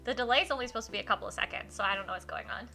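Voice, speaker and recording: A woman livestreaming a game, switching between informal chat with viewers and expressive read-aloud in a giggly, animated manner. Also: medium pitch, rapid-fire, creaky, faint music behind. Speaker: livestreamer hosting a visual novel playthrough.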